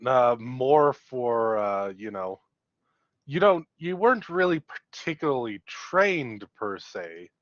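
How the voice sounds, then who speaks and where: A man's voice: medium pitch, lively intonation, slow, no room sound. A man acting as game master, casually explaining a tabletop story over dull, dry voice-chat audio.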